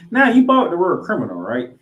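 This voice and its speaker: A man's voice: high-pitched, huge pitch swings, brisk, fairly bright tone, muffled recording. A man co-hosting a sports podcast, giving football recaps with emphatic, announcer-like delivery of scores and stats mixed with casual banter.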